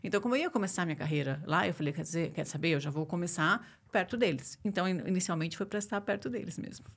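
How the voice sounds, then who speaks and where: A woman's voice: lowish voice, lively intonation, fast talker. A woman, chatting casually as an interview guest about her working and personal life. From Curitiba, southern Brazil (born in Argentina). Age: 40s. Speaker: labour lawyer, interview guest.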